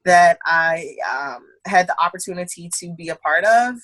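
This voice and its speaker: Bright, animated young woman, casual chat. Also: fairly low pitch, moderate pace, no echo. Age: young adult. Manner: animated, laughs often, slangy. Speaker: podcast guest.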